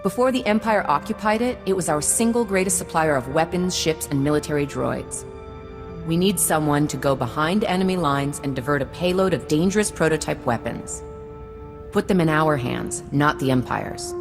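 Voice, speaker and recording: An actress playing a poised, persuasive senator in a video game, speaking formally. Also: female voice, low voice, moderate pace, over music. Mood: earnest briefing. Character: poised, persuasive female galactic senator, adventurous. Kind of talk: video game cutscene acting.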